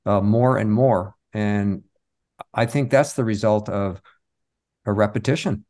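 A man speaking as an interview guest, reflective and halting as he tells stories of his work in conversation. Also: fairly low pitch, mellow. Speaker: interview guest, comic magician and stage show creator.

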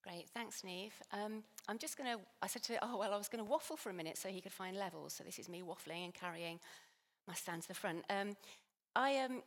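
Hoarse woman preaching in a church. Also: medium pitch, a little fast. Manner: chatty, self-deprecating, animated. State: losing her voice a little. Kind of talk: sermon. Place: church hall. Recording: long church reverb.

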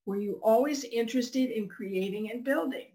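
A woman's voice: fairly high pitch, normal speed, mellow. A woman podcast host reading scripted introductions and putting questions to a guest.

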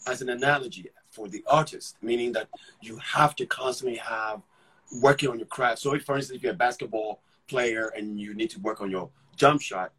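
A man from Ghana, an actor, talking about his career in a relaxed interview, often restarting and repeating words as he thinks. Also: mid-range voice, brisk, husky, rough recording. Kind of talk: casual conversational storytelling.

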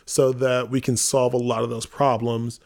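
A man talking casually on a podcast as one of the hosts, informal and animated, often acting out quotes. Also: mid-range voice, moderate pace, vocal fry. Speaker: theater company member and podcast host.

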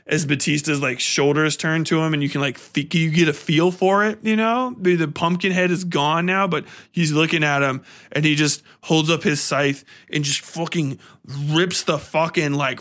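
Higher-voiced man on a profane rant. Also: moderate pace. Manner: profane, rambling, slangy; animated storyteller with dramatic emphasis. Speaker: podcast host. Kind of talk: casual solo film review rant.

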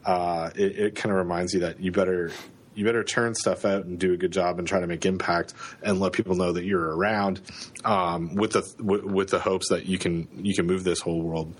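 Husky, deep-voiced man with a cold. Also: steady tone. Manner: halting, many fillers and restarts. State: has a cold, sniffling. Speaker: podcast co-host. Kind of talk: casual podcast chat. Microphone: close studio microphone.

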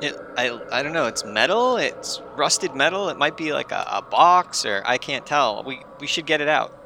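Male game player, forward bright tone with gravelly edges, hesitant casual role-play, slight background noise. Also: mid-range voice, animated, moderate pace.

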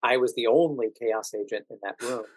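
An American man speaking as an interview guest, telling anecdotes conversationally with drawn-out emphasis on key words. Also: mid-range voice, no room sound, rough recording. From United States. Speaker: podcast guest, actor working as a standardized patient.

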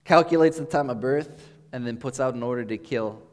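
A pastor giving a relaxed, conversational sermon, explaining as he goes with thinking-aloud pauses, recorded in a church sanctuary. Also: mid-range voice. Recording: long hall reverb.

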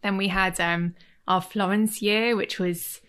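A woman's voice: medium pitch, fairly even intonation, a little slow, fairly bright tone, smooth. A woman, chatting casually as a guest and rambling with fillers and restarts. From Leeds, Yorkshire, England. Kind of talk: casual conversation. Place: home in Cornwall.

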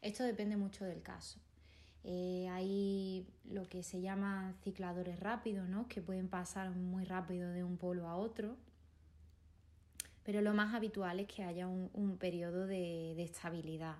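Flat-voiced woman psychologist explaining. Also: fairly low pitch. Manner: measured, explanatory, with hesitation fillers. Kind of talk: expert explanation in an interview.

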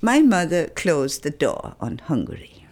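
An older woman, a retired professor, recalling her family in an interview, thoughtful and hesitant with pauses and fillers. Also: sits low, huge pitch swings, a little mellow. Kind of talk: reflective interview recollection.

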